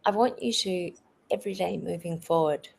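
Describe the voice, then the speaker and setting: A woman's voice: on the low side, moderate pace, vocal fry, darkish tone, slight background noise, low-quality recording. A woman, a keynote speaker, telling her story in a friendly, plainspoken way as an interview guest. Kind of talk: conversational interview answers.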